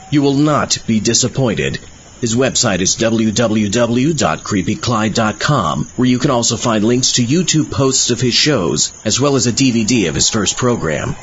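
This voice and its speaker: A man hosting a horror podcast in vampire character. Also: medium pitch. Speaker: podcast host. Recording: dull lo-fi with noticeable background noise.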